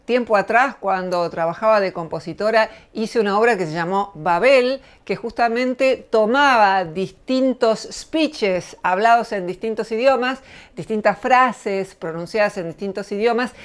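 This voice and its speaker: Smooth-voiced Argentine woman explaining calmly. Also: mid-range voice. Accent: Argentine Spanish. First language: Spanish. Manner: measured, thoughtful explaining. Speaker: channel host, lecturer. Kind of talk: reflective spoken essay to camera.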